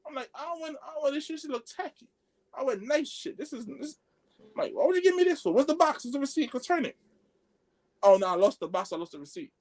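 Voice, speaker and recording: A man telling a story among friends on a podcast, excitable and slangy, acting out the dialogue as he goes. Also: very high-pitched, fast. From Haitian background. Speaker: podcast co-host.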